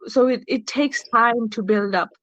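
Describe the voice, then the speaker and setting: A woman's voice: on the high side, a little slow, no echo, dull audio. A young woman hosting a podcast, chatting casually and often restarting her sentences. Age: young adult. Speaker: podcast host. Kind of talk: casual chat.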